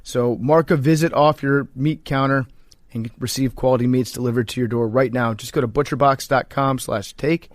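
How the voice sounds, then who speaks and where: A man's voice: medium pitch, fairly even intonation, moderate pace, no echo, studio quality. A man co-hosting a podcast, talking casually and reading ads with a joking, mock-serious delivery. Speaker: podcast co-host. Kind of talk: casual banter and host-read ad.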